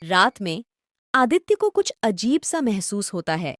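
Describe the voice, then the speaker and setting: A woman narrating a cartoon story in a calm, plain storytelling manner. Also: medium pitch, measured pace.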